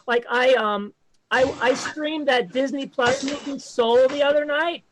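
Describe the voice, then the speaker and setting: High-voiced man doing self-deprecating stand-up. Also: unhurried, background noise. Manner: self-deprecating, deadpan joke delivery. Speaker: comedian. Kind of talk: stand-up comedy set.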